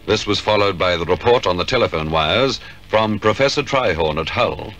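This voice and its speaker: Male narrator, low and forceful, formal deliberate storytelling, vintage crime serial with faint hiss. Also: lively intonation, low-quality recording. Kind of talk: scripted 1950s radio crime drama narration. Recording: hissy 1950s radio recording.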